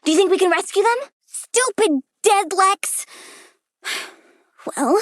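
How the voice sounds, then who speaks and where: A woman's voice: high-pitched, fairly bright tone, no room sound, studio quality, full character voice. A woman voicing a young girl plant villainess in a video game, childlike, blunt and pouty, with a volatile, morbidly cheerful temper. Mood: sulky, irritated. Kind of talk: video game character voice lines.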